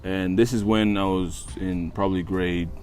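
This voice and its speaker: Male, 20s, non-native English speaker, very deep register, relaxed interview answers with emphatic repetition, faint music bed. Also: normal speed. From East Africa and Australia. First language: not English, learned it after moving to Australia. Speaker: interview guest, college basketball player. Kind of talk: casual interview, telling own life story. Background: background music.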